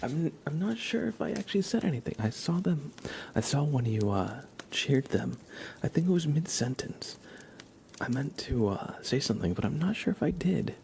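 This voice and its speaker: A man's voice: fairly high pitch, big pitch swings, normal speed, gravelly, a little mellow, a little hiss or hum. A man hosting an ASMR livestream, chatting casually and often in a whisper, with playful, rambling asides to viewers. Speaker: livestream host.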